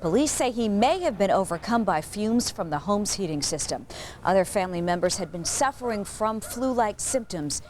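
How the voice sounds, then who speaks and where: A woman's voice: mid-range voice, animated, moderate pace, a little mellow. American newswoman, faint ambient noise. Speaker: newsreader. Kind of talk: scripted news delivery.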